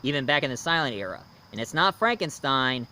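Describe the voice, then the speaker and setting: A man's voice: medium pitch, very animated, fairly quick, sharp tone, slight background noise. A man hosting a review, talking casually to his viewers with some dramatic emphasis. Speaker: online reviewer and host.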